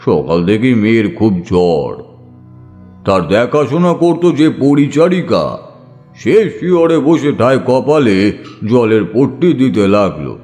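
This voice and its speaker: A man narrates horror fiction for an audiobook with suspense and theatre. His mid-pitched voice rises and falls dramatically, with faint music playing behind it. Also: sing-song, takes it slowly, darkish tone.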